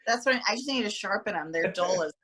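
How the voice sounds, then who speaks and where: Female athlete guest, animated, crisp bright tone, video call audio. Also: medium pitch, fast. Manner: animated, repeats words when excited. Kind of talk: casual video call interview.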